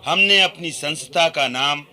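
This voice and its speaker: An actor playing an earnest, dignified British reformer addressing an assembly in a formal, deliberate radio-play manner. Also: male voice, on the high side, sharp tone. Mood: formal, proud. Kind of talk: Hindi radio play oratory.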